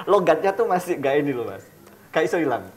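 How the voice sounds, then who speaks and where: A man's voice: on the high side, big pitch swings, moderate pace. A man hosting a casual, playful interview, slangy and mixing in English words. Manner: jokey, slangy, peppers talk with English words. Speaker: talk show host.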